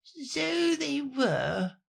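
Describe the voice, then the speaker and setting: A reader voicing a sleepy, feeble little male dormouse from a children's storybook, with a hoarse, drowsy, faltering voice. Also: high voice, sing-song, very slow, voice pushed up, full character voice.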